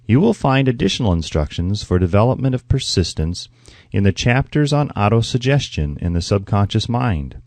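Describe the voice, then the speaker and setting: Mellow, low-voiced man narrating with lively intonation. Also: normal speed, dry recording. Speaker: audiobook narrator. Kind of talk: scripted narration of a self-help book.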